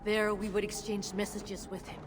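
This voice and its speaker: A woman's voice: medium pitch, flat delivery, normal speed, close to an everyday voice. A woman playing a weary, bitter dwarf leader in a video game, guarded, sardonic and solemn. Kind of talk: dramatic video game voice acting. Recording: long reverberant hall, noisy ambience.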